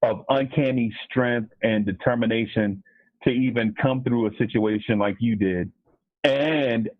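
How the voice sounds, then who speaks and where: A man's voice: mid-range voice, no echo. A man co-hosting an interview, speaking in a slangy, earnest way. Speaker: radio co-host. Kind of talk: casual interview chat. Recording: tinny phone or call line.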